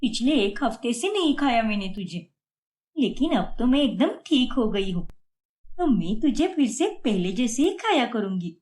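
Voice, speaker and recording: A dramatic young daughter-in-law from a cartoon moral tale, acted out by a woman. Her voice is high and swings widely, whining and pleading with self-pity. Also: very animated, moderate pace, a little mellow. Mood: gushing. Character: whiny, food-loving young Indian daughter-in-law. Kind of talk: Hindi moral-story cartoon voice acting.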